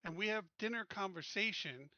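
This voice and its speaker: A man's voice: high-pitched, normal speed, bright, forceful tone, no room sound, lo-fi sound. A man playing a tabletop roleplaying game, chatting casually and thinking plans through aloud.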